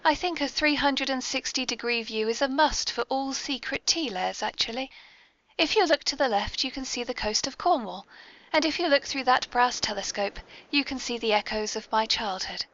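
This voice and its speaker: An English woman with a highish voice hosts in a playful, courteous way. Her delivery is whimsical and chatty. The sound is dull and lo-fi, like an amateur podcast. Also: moderate pace, fairly bright tone. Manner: playful, polite. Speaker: podcast host. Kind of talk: whimsical hosting and chat.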